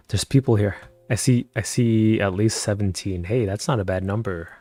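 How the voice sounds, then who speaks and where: A man chatting casually with viewers on a live stream, speaking softly and keeping his voice down at night at home, and laughing often. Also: moderate pace. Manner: soft-spoken, keeps voice low at night.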